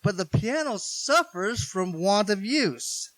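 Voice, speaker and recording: A stern old grandfather, played by a man, questions a girl with gruff, dry probing. His voice sits high and bright and swings widely. The sound is close and dry. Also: expressive, takes it slowly, no room sound, exaggerated voice, rough recording. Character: gruff elderly rich grandfather, stern but kind. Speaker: radio play actor. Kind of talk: 1940s radio acting.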